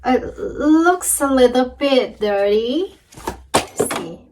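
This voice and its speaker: A woman's voice: high voice, animated, slow, darkish tone, a little hiss or hum. A young woman with a Thai accent, teaching her language in a cheerful, chatty video lesson and often laughing. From Thailand.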